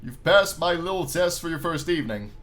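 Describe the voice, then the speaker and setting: A man voicing a smooth, self-assured, flirty female animatronic bear manager in a visual novel. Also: fairly high pitch, expressive, fairly quick, fairly bright tone. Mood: assured, inviting.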